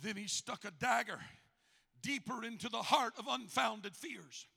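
A man preaching a fervent sermon as a pastor, with a raspy, strained voice and an emphatic, repetitive, exhorting delivery. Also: sits high, a little slow, bright, forceful tone. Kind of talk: fervent Pentecostal-style sermon.

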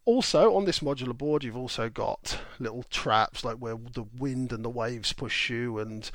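A man's voice: mid-range voice, normal speed, no room sound. A man co-hosting a podcast, chatting casually and explaining game rules step by step. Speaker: podcast co-host. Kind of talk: casual board game review chat.

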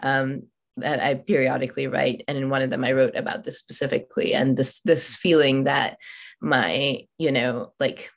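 A woman with a very low-pitched voice whose first language is Malayalam. She talks in a reflective, searching way, pausing and restarting often. She speaks as an author guest on a podcast interview. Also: little pitch movement, vocal fry. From India, raised in Oman. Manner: thoughtful, many fillers and restarts.

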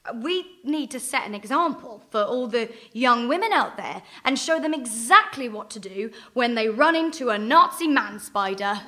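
An actress playing a brash, sardonic young action heroine in a radio play, with a wry, mocking delivery. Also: female voice, fairly high pitch, expressive, moderate pace, hardly any pauses, pushed and bright. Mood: apologetic.

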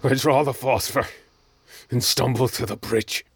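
A man's voice: medium pitch, steady tone, unhurried, breathy edge. A man playing an embittered, defiant, desperate pirate who narrates his own story in a theatrical audio drama. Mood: pained, grim. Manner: intense, theatrical, swinging between hushed narration and shouts. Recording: loud music over the voice.

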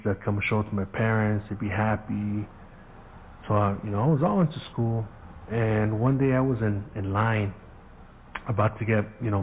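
A man's voice: fairly low pitch, a little hiss or hum. A middle-aged man telling stories in a casual monologue, full of profane street slang, acting out the voices of people he quotes. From Southern California. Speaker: podcast host. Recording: phone-quality audio.

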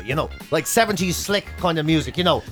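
Animated, high-pitched Irish man bantering. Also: fairly quick. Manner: rambling, self-mocking. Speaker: podcast co-host. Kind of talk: casual comic banter. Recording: music playing under the voice.

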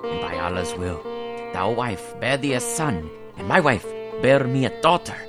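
An actor playing a proud, haughty elder brother vizier in an audio drama, speaking in an imperious, scornful way. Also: male voice, lowish voice, expressive, normal speed, close to an everyday voice. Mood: scheming. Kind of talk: radio drama acting. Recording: voice over loud music, from a distance.

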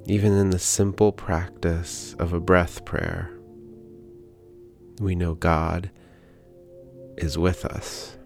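Slow, low-voiced man guiding meditation. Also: vocal fry. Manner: calm, gentle, unhurried with long pauses. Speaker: podcast host leading guided meditation. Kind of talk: guided prayer meditation with scripture reading. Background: background music.

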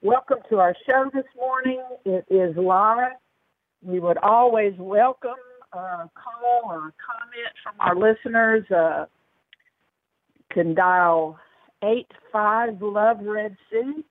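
Woman, radio host, gravelly voice, chatty with fillers, tinny phone line, dry sound. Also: mid-range voice, slow, no room sound.

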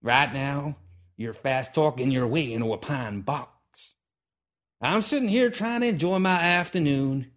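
A man voicing a grumpy old retired gunfighter, speaking in a slow drawl with folksy frontier grammar. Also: mid-range voice, pitched above the performer's own voice. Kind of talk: audiobook character voice.

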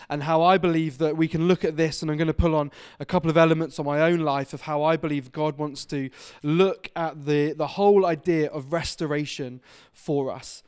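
A man's voice: fairly high pitch, darkish tone, smooth. A man in his thirties from Devon preaching a sermon in a church, chatty and self-deprecating. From England (Devon). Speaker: visiting preacher. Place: church hall.

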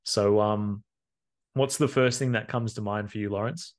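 A man's voice: on the low side, steady tone, normal speed. A man co-hosting a podcast, agreeing with others and leading questions, with plenty of fillers. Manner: agreeable, lots of fillers and restarts, leads questions. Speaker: podcast co-host. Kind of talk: casual podcast chat.

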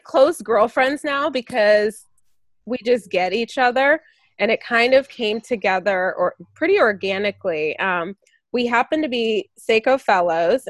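A woman hosting a podcast, talking in a measured, reflective way. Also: medium pitch, no room sound. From United States. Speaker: podcast host. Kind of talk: casual podcast chat.

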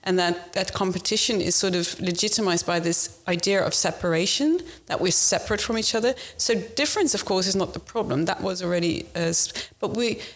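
Earnest woman, lowish voice, restarting. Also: a little fast, few pauses. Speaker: panel guest. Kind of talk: panel discussion.

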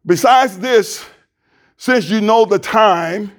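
A man preaching as a pastor, praying and reading scripture in a fervent, deliberate way with a loud voice, into a handheld mic in a church. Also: high voice. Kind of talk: sermon with prayer and scripture reading. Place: church sanctuary. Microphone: handheld microphone.

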